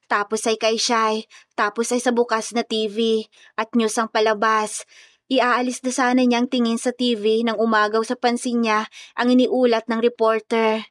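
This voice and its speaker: A female storyteller narrates a scripted romance drama. Her voice is fairly high, and the recording is close and dry. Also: level tone, moderate pace, no echo.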